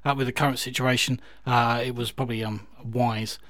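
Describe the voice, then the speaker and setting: A man's voice: medium pitch, little pitch movement, fairly quick, a bit bright. A British man hosting a music radio show in a casual, enthusiastic, chatty and effusive way. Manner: chatty, effusive, with repeated emphatic praise.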